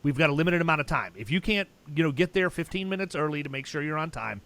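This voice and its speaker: A man's voice: fairly high pitch, lively intonation, fast, a bit bright. A man hosting a podcast, telling stories in a blunt, emphatic and talkative way, often restarting his sentences. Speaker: podcast host. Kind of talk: casual podcast chat.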